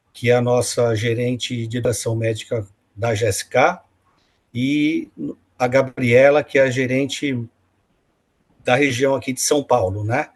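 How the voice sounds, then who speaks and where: Man, company rep, husky voice, courteous. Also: mid-range voice, fairly bright tone. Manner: courteous, introducing colleagues. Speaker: company representative. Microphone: video call.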